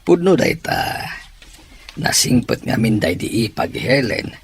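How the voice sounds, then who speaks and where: An actor playing a kindly middle-aged father in a radio drama, speaking gently, with faint music behind. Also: mid-range voice, sing-song, a little slow, gravelly, slight background noise. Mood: gentle.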